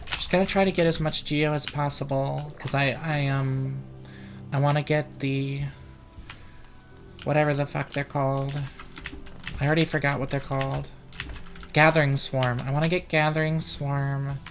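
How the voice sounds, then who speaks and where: A let's player giving casual, rambling gaming commentary, profane and deadpan, with keys clicking. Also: mid-range voice, normal speed, pauses often, music in the background. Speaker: let's play host.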